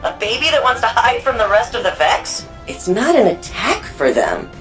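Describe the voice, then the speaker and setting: A woman's voice: a little high, sing-song, moderate pace, fairly bright tone, soft background music. An actress playing a quirky, eager young woman archivist in a video game, chatty and informal with a wry, geeky manner. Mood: puzzled. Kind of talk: video game character dialogue.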